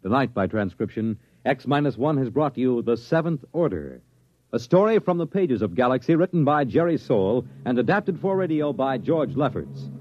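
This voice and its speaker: A man's voice: medium pitch, sing-song, moderate pace, no echo. A man announcing and narrating from a script in a formal, dramatic 1950s radio style. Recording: dull old radio broadcast with music. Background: music.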